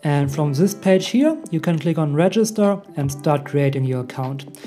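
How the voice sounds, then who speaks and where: A man in his thirties from Germany with a German accent, walking beginners through a software setup step by step in a friendly way. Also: on the high side, animated, moderate pace, faint music behind. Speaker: tech tutorial host. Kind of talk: screen-recorded software tutorial.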